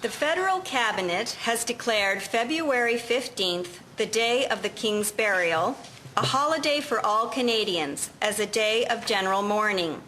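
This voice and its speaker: A woman playing a firm, proper 1950s schoolteacher in an amateur audio drama, speaking in a measured, formal way. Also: on the high side, animated, bright, forceful tone, a little hiss or hum, low-quality recording. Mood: composed, instructive. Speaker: actor in an amateur history drama.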